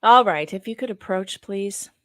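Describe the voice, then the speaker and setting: A woman playing a measured, questioning trial judge in a dramatic reading of a court transcript. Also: medium pitch, fairly bright tone, dry recording, clean studio sound, close to an everyday voice. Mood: formal.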